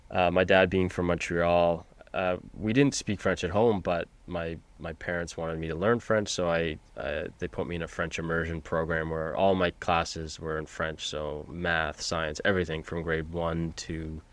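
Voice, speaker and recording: A man from Canada, a teacher and podcast guest, talking casually with frequent restarts over a video call. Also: sits low. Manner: halting, with many restarts. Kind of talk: casual conversation.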